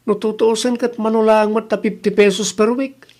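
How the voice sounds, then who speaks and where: A man's voice: high voice, level tone, moderate pace, darkish tone. A man with a Filipino accent playing an exasperated, nagging village father in a comedy skit. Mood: scolding. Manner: nagging, reproachful. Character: exasperated, scolding village father, fed up with freeloaders. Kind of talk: Ilocano comedy skit acting.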